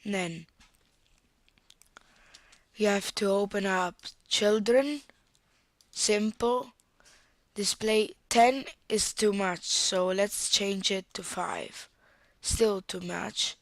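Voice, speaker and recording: A young woman with a strong Italian accent and a cold walks through a software tutorial, thinking aloud as she clicks. She speaks very slowly in a mid-range voice. The sound is close and dry, with mouse clicks nearby. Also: mid-range voice, dry recording. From Italy. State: has a cold. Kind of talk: screen-recorded software tutorial.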